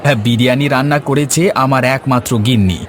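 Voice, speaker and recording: A man voicing a humble, polite and obliging village eatery owner in an animated story. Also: mid-range voice, fast, some chatter behind, slight background noise, natural voice. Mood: welcoming. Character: humble, welcoming male village eatery owner, proud husband. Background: faint background music.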